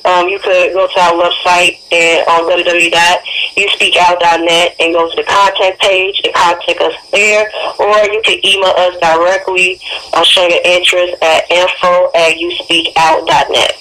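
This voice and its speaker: A woman's voice: on the low side, flat delivery, moderate pace, sharp tone. An American woman speaking as a phone-in radio interview guest, earnest and encouraging, repeating phrases for emphasis. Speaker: interview guest, youth TV show promoter. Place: calling in by telephone to a radio show. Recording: noisy phone call to radio show.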